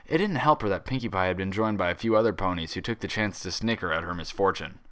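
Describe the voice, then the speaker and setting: A man narrating a fan fiction story as an expressive reader. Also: low voice, fast.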